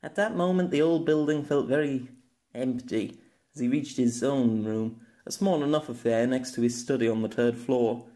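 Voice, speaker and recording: Guy narrating an audio novel, mid pitched voice, scripted storytelling. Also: moderate pace, dark tone.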